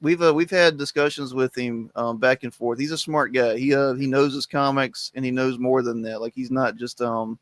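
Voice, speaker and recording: A man's voice: mid-range voice, fairly even intonation, normal speed, few pauses. A man hosting a casual roundtable stream, rambling with lots of fillers and frequent laughs. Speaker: host of a comics stream. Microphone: remote stream mic.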